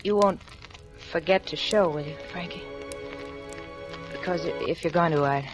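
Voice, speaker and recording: Casting: actress as criminal's needy girlfriend, low voice, uneasy and pleading, vintage radio drama over music. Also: moderate pace, close to an everyday voice. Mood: anxious, pleading. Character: anxious, needy woman accomplice of a criminal. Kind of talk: 1940s radio acting. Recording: old radio recording, music behind.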